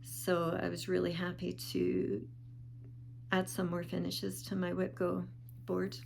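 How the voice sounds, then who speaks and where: A woman talking casually in a show-and-tell vlog, calm and unhurried with small pauses, sounding a bit sniffly. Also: fairly low pitch, gravelly, slight background noise. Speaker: vlogger.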